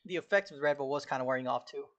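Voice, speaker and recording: A man casually talking to himself while studying on a live stream, often clearing his throat, which feels irritated. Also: mid-range voice, very fast, fairly bright tone, dull audio. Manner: frequent throat clearing, laid-back, reciting notes from memory.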